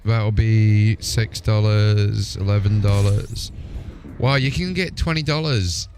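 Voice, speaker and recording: A man's voice: fairly low pitch, takes it slowly, darkish tone, a little hiss or hum. A man chatting casually and informally as a live game streamer, in a matey way with the odd mild swear. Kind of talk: casual gaming livestream chat.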